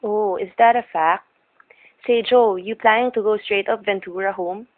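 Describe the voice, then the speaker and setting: A woman's voice: mid-range voice, fairly even intonation, normal speed, lightly acted. An actress playing a chatty, folksy old woman who runs a roadside diner in a radio drama, with homespun, rustic speech. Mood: chatty, nosy. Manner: gabby, homespun, rustic grammar. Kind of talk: old-time radio character acting. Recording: narrow, tinny vintage radio recording.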